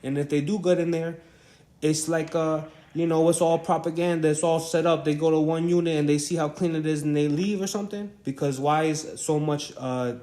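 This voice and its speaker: A man speaking casually as an interviewer in a phone conversation, halting and repeating himself as he rambles through his points. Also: on the high side, steady tone, warm tone.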